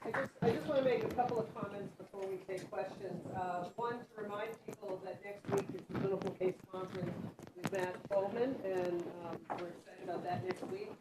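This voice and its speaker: A woman hosting a grand rounds lecture, making announcements and thanks in a halting way, full of fillers. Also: mid-range voice, fairly even intonation, very noisy. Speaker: host of the grand rounds, division director. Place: lecture hall.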